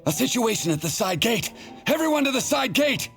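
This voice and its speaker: High, creaky panicked young guard. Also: male voice, big pitch swings, brisk, fairly bright tone, soft background music, exaggerated voice. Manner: urgent, anxious. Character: panicky, deferential junior knight raising the alarm. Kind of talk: video game voice acting.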